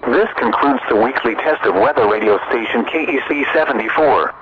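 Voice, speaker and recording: Tinny, static-laden male weather radio announcer. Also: mid-range voice. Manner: formal, measured reading. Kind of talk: scripted public warning system test announcement.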